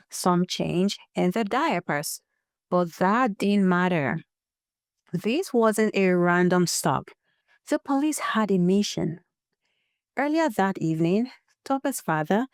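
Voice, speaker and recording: A woman narrates a story in a slightly low voice, taking her time over each beat. Her delivery is dramatic and suspenseful, built on short punchy phrases and wry casual asides. The recording is close and dry. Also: a little mellow, dry recording.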